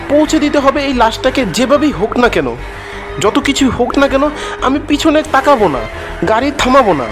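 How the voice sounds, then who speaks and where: A man narrating a scripted first-person horror story in a dramatic, suspenseful storytelling manner. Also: extremely high pitch. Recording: background music under the voice.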